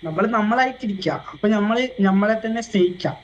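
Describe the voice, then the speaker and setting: A man's voice: sits high, lively intonation, fast talker, muffled recording. A man with a north Kerala accent chatting casually as a player in an online roleplay game. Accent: north Kerala Malayalam. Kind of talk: casual in-game chat, giving advice. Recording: noisy game voice chat.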